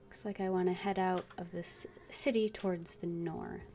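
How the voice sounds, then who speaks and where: A woman giving casual, unscripted commentary as she streams a video game, hesitant and thinking aloud with long pauses. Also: lowish voice, fairly even intonation, mellow, soft background music.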